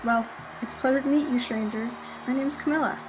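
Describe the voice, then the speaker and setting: A woman's voice: sits high, steady tone, a little fast, heavy background noise, close to an everyday voice. A young woman acting a friendly, folksy, dim-witted female Roman gladiator in radio theatre. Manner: folksy turns of phrase. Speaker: student radio theatre actor. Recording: music behind the voice.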